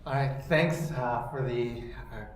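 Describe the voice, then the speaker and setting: A man in his forties gives a talk in a lecture hall, leaning on "you know" and "uh" as he goes. His middle-pitched voice rings out in a roomy space on a microphone. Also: unhurried, noisy background. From Canada. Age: 40s. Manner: loose, full of "you know" and "uh" fillers. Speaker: guest speaker. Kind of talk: lecture presentation.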